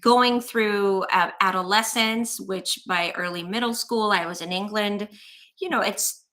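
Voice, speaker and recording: Bright, earnest middle-aged woman guest. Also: mid-range voice, unhurried. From Iran. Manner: earnest, reflective, uses "you know" and "um". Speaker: guest, physician. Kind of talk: interview storytelling.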